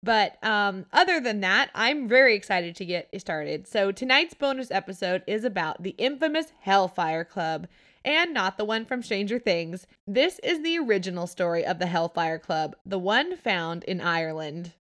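A woman hosting a paranormal podcast, narrating in a soft, hushed storytelling voice and sounding stuffy from a sore throat. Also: medium pitch, lively intonation, moderate pace.

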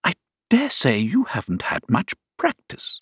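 An eccentric old queen from a storybook, acted out by a male reader, flustered and boastful. The voice swoops wildly and takes its time, with a slightly husky grain and a close, dry sound. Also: mid-range voice, wildly swooping pitch, measured pace, a bit bright, no echo, pitched above the performer's own voice, exaggerated voice. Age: older. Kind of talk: storybook character voice.

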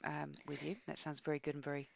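A woman's voice: low-pitched, completely flat delivery, fast, creaky, a little mellow, muffled recording, slight background noise. A woman co-hosting a podcast, talking casually and enthusiastically about books in a chatty, rambling way. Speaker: podcast co-host. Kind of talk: casual book chat.

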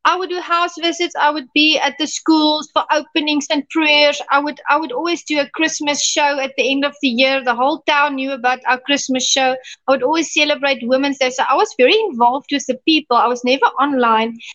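A woman's voice: high-pitched, steady tone, a little fast, hardly any pauses, fairly bright tone, muffled recording. A woman from South Africa with a South African accent, a pastor telling stories in a casual interview. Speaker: pastor and podcast guest.

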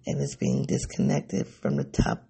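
A raspy, sleepy man speaks in a rambling, self-searching vlog monologue. His voice is deeply gravelly and husky, with a dark, mellow tone. His pitch swings widely as he muses. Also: very animated, moderate pace. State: sleepy, voice going. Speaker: vlogger. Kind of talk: personal reflective monologue.

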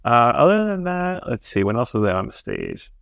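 A man's voice: a little high, big pitch swings, fairly quick, no room sound, studio quality. A man co-hosting a podcast, telling stories in a rambling way and correcting himself as he goes. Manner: rambling, self-correcting storyteller. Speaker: podcast co-host. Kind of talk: casual chat.

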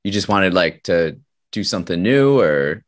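A man's voice: low-pitched, expressive, normal speed. A man interviewing a guest in a casual chat, giving short acknowledgments and asking curious follow-up questions.

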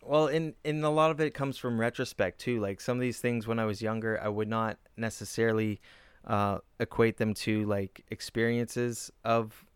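A man's voice: on the low side, fairly even intonation, normal speed, clean tone, no room sound. A man, a comedian, talking casually in a reflective, earnest way. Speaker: comedian guest. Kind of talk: casual chat.

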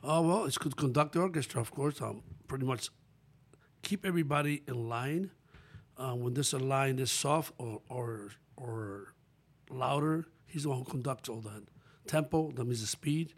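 A man's voice: mid-range voice, moderate pace. Older Dominican-born man, easygoing answers. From Dominican Republic. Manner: relaxed, plain-spoken, short answers. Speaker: guest musician. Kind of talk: casual interview.

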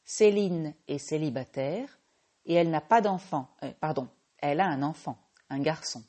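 A French woman who teaches French, hosting a slow, clear language-lesson podcast and patiently correcting her own small slips. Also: deep voice, dark tone. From France.

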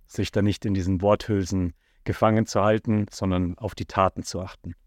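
A man talking calmly and at length on a casual podcast, giving advice. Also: deep voice, dry recording, clean studio sound. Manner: calm, measured, explains at length with fillers. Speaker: podcast co-host.

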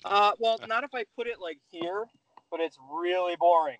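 A man's voice: high voice, normal speed, fairly bright tone, no echo. A man chatting casually as a podcast co-host from inside a car on a phone or headset. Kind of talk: casual chat. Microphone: phone or plugged-in headset.

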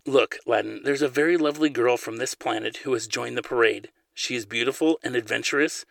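A man voicing a playful, caring young woman who is gently insistent and firm, as in an audiobook. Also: medium pitch, a little fast, vocal fry, no echo. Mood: coaxing, firm. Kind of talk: audiobook character dialogue.

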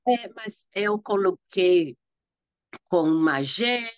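A woman's voice: mid-range voice, lively intonation, takes it slowly, no room sound. A woman from the Dominican Republic whose first language is Spanish speaks as an interview guest. She talks hesitantly and searches for words as a learner. The recording is dry and a little dull. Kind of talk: casual interview.

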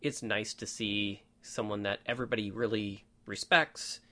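A man's voice: lowish voice, fairly even intonation, normal speed, pushed and bright. A man hosting a rally podcast, chatting in an upbeat, easygoing way and laughing easily. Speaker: podcast host. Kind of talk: casual podcast chat.

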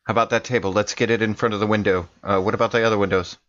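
A man's voice: on the low side, fairly even intonation, rapid-fire, a bit bright. A man playing a tabletop roleplaying game, speaking casually in character on slightly muffled audio.

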